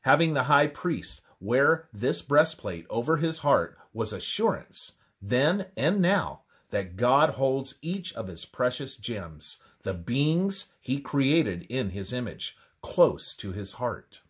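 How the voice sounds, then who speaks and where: A man reads a scripted religious text aloud in a measured, deliberate manner, pausing between phrases. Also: mid-range voice, very animated, slightly rough. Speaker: reader of a religious presentation. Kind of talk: scripted devotional narration, read aloud.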